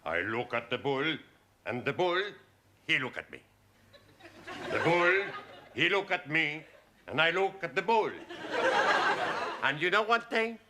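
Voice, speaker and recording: A jolly Spanish student telling a tale. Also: male voice, on the high side, sing-song, a little slow, pauses often, bright, forceful tone, a little hiss or hum, heavily acted. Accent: Spanish-accented English. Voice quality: broken English, jolly storytelling. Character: cheerful Spanish male student comedian, boastful. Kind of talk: 1970s studio sitcom.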